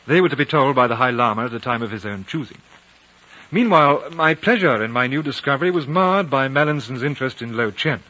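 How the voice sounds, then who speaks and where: A reserved, thoughtful British consul in a vintage radio play. His voice is bright and mid-pitched, his manner polite and dryly formal. The sound is the dull, narrow tone of a 1950 broadcast. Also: expressive, fairly quick. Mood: reflective narrating. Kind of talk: 1950s radio drama dialogue and narration.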